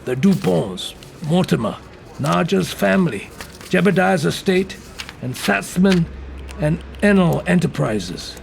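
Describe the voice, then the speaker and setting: Gravelly, blunt detective. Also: male voice, a little high, sing-song, measured pace, a little hiss or hum, full character voice. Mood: businesslike, weary. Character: gruff, blunt, foul-mouthed male detective partner. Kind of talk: audio drama acting.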